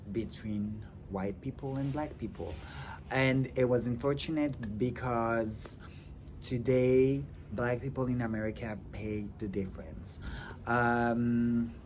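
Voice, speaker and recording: A man whose first language is French talks slowly and haltingly to a phone on a tripod. His pitch sits in the middle range, and he fills his pauses with ums. Wind noise and outdoor ambience rush over the phone mic. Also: rough recording. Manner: halting, many ums and restarts. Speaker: vlogger. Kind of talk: casual talk to camera.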